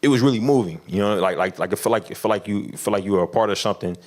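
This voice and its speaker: A man with a fairly low voice talks through his answers in a relaxed interview. He stumbles and restarts often, fills gaps with "you know", and breaks into laughs along the way. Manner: halting, many restarts and fillers, laughs often. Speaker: film director guest. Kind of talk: casual interview conversation.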